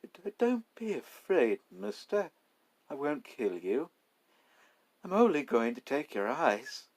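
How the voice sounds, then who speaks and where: A man's voice: on the high side, big pitch swings, slow, darkish tone, pitched above the performer's own voice, heavily acted. A man voicing a polite but menacing male robber with a sweet, almost pained voice in a story reading. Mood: polite menace.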